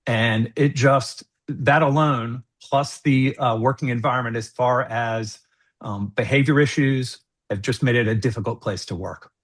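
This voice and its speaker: A middle-aged man giving an earnest, measured candidate statement on a video call, with some fillers. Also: medium pitch. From United States. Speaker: school board candidate.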